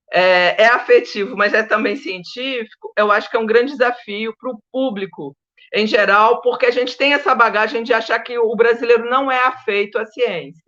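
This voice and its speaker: Bright-voiced Brazilian woman, slightly muffled audio. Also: fairly high pitch. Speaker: historian of science, panel guest. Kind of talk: informal academic panel talk.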